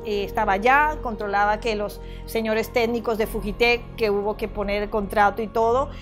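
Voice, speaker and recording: An older woman from Venezuela telling her own story in an interview, halting and circling back as she goes. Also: mid-range voice, steady tone, faint music behind. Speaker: property owner giving testimony. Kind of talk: personal testimony in an interview.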